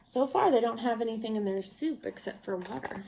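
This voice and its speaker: A woman reading a children's storybook aloud, expressive and unhurried, as if to young listeners. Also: on the high side, vocal fry, a little hiss or hum, rough recording. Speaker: storybook reader. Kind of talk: children's read-aloud narration.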